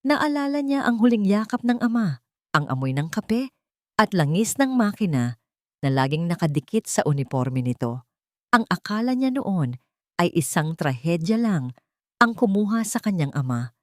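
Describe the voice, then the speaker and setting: A woman narrates a dramatic story in a low, grounded voice. Her delivery is measured and steady, and the recording is dry and close with no room sound. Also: dry recording.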